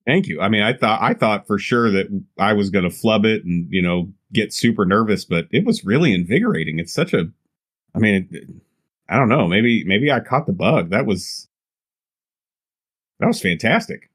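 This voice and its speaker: A man's voice: on the low side, animated, moderate pace, a bit bright. A man improvising conversation as a player in a tabletop game, hesitant, rambling and apologetic.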